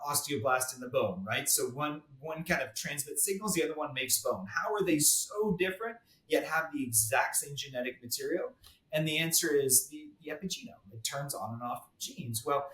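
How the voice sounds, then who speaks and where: This is a man at a mid pitch, a dermatologist who guests on a podcast. He explains medical ideas in a conversational way and often restarts with a repeated word. The recording has a noticeable roomy echo. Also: fairly bright tone. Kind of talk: podcast interview.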